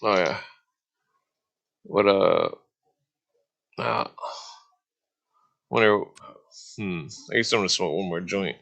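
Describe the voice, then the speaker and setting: A low-voiced man with plenty of gravelly fry talks in a casual livestream chat. His tone has a bright edge, and he is easygoing, often trailing off. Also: slow pace, long pauses, highs cut off.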